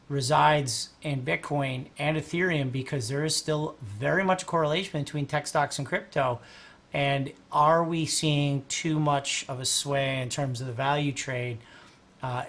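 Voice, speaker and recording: Male host, fairly high, gravelly, losing his voice, loose rambling chart talk. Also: fairly bright tone. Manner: rambling, restarts, clears throat often. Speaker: host and market analyst. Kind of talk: casual livestream chart analysis.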